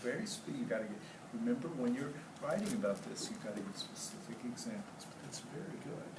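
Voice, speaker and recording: A male teacher tells a story to a class with expressive delivery and encouraging asides. His pitch moves a lot, and he sounds distant in an echoey classroom with faint noise. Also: fairly quick. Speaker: teacher reading aloud to class. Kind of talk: read-aloud lesson, storytelling with class questions.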